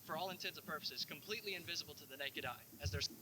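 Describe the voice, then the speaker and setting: A man playing an earnest, polite park ranger presenting scripted announcements in a cheesy low-budget visitor video. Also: rapid-fire, natural voice. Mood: earnest, explanatory. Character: cheery, earnest male park ranger hosting safety announcements. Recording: noisy found-footage park video.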